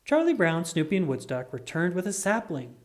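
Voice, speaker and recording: A reader giving an expressive, scripted read-aloud of a children's picture book. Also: mid-range voice, a little fast. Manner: animated, expressive reading.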